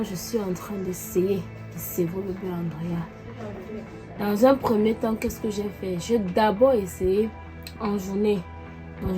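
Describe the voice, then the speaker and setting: Chatty congested mother with music behind. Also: medium pitch. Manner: chatty, repeatedly addresses viewers as family. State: congested with a cold and cough. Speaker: vlogger mother. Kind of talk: casual family vlog talk.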